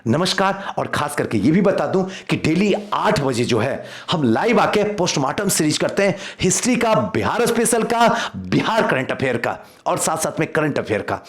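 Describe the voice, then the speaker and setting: A man teaching an online quiz lesson as an exam coach, emphatic and repeating key points. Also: mid-range voice. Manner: emphatic, repeats questions and answers.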